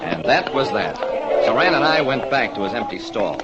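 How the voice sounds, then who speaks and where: An actor playing a terse American private detective, narrating an old radio crime drama. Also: male voice, fairly low pitch, very animated, moderate pace. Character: wry, quick-thinking American private detective. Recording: dull vintage radio recording, music behind.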